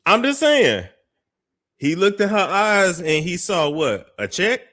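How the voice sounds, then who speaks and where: A man's voice: sits high, very animated, takes it slowly, a bit bright. A man hosting a commentary show, reacting to clips in a gossipy, skeptical way. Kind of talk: reaction commentary on a played clip.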